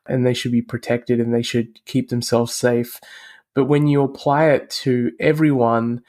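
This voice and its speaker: A middle-aged man from Australia speaks as an interview guest. His voice sits at a middling pitch, and he lays out his reasoning in a measured, logical way. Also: a little mellow. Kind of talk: casual interview conversation.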